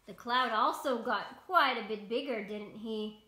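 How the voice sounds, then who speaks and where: A woman's voice: fairly high pitch, measured pace, pushed and bright. A woman in her thirties talking to young children as a bedtime story host, in simple words with questions to the listener. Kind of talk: storytime talk to young children, read-aloud. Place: indoors, small bedroom. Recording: roomy, echoey home recording.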